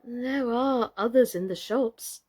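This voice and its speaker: A gentle young girl from a storybook, voiced by a woman, unsure of herself and a little dreamy. Her voice sits fairly high and takes its time, hesitant and questioning. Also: slow. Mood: uncertain.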